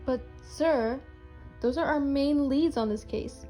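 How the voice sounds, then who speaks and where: A woman's voice: high voice, slow pace, warm tone, soft background music. A woman playing an eager, determined young reporter in an amateur superhero radio play. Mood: inquisitive, urgent.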